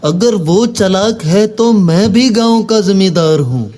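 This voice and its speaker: Cartoon village landlord, played by a man: stern, bossy, scolding, high-pitched and unhurried. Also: expressive, a little mellow. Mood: commanding. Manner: scolding, authoritative. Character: angry, commanding village landlord, stern with his servants. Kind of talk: children's cartoon moral story.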